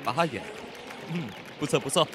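A man's voice: medium pitch, expressive, normal speed, background noise, lightly acted. A man acting a cocky, teasing young man in his twenties in an audio drama.

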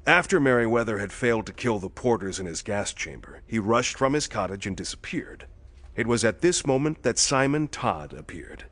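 An actor playing a quiet, authoritative police detective in a pulp thriller audio drama, explaining things evenly and methodically. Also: male voice, fairly low pitch, expressive, moderate pace. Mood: measured, grave.

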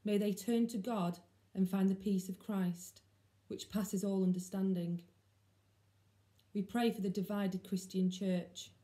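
Very mellow young woman reading a prayer. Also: medium pitch, fairly even intonation, measured pace. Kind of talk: read-aloud prayer. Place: small plain room at home. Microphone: laptop or phone at desk distance.

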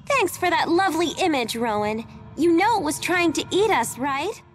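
A woman voicing an earnest, self-doubting teenage girl in a video game, expressive and plain-spoken. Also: extremely high pitch, normal speed. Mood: earnest, unsure. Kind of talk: English video game voice acting. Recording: game music behind the voice.

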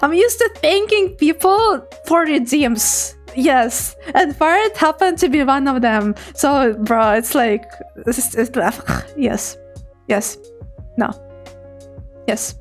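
Young woman VTuber, high-pitched, giggly and playful, casual chat with viewers at a home desk mic. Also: lively intonation, slow, faint music behind. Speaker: VTuber streamer. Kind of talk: casual chat reading chat messages.

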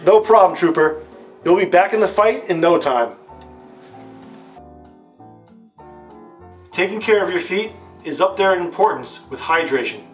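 A man's voice: high voice, animated, fairly quick. An American man in his thirties playing an authoritative army captain, giving formal, scripted instruction in a retro military training film. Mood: encouraging, assured. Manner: formal, didactic, stilted. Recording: music under the voice. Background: background guitar music.

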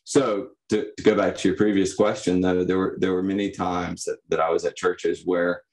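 A young man giving reflective, personal answers as a podcast guest, in a halting, thoughtful manner. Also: low-pitched, level tone, darkish tone. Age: young adult. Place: indoor room, on a video call. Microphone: condenser microphone.

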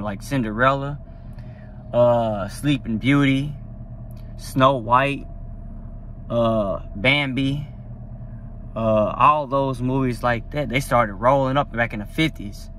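Young man, casual rambling vlog, phone mic in a car, faint background noise, middle pitch. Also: fairly bright tone. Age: young adult. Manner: rambling, enthusiastic, many fillers. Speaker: vlogger. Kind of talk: casual talk to camera. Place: parked car, indoors. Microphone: phone at arm's length.

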